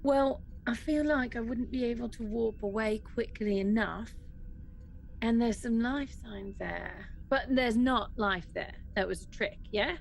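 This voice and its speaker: A woman's voice: on the high side, unhurried, rough recording. A woman playing a tabletop game, chatting casually about her moves in a playful, wry way. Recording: clear background noise.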